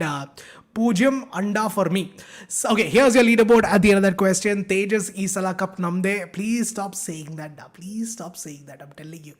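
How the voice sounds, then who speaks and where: A young man from India teaching a live online science class, chatty and upbeat, bantering with his students. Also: sits high. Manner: upbeat, banters with students, code-switching slang.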